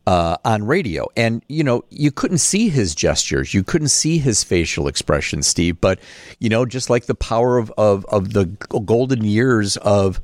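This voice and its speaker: A man's voice: lowish voice, sing-song, normal speed, no echo. A man hosting a radio interview, moving between scripted introduction and conversational questions. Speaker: radio host.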